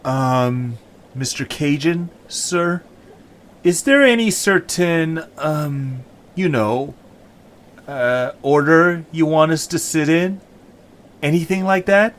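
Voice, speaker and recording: A man's voice: on the high side, slow pace, a bit bright. A man voicing an earnest, anxious young male creature in an audio story, polite and hesitant in manner.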